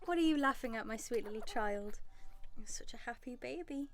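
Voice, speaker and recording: A woman's voice: on the high side, brisk, lightly acted. A woman playing an anxious, loving young wife and mother in a radio drama. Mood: tender. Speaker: actress and narrator in a radio drama. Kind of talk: radio drama acting, dramatic first-person narration.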